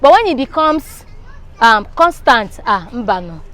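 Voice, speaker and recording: A woman with a Nigerian accent and a fairly high voice gives her opinion in a street interview. She talks in a casual, conversational way. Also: big pitch swings, a little slow, fairly bright tone, a faint crowd. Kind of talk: animated casual chat in Nigerian Pidgin.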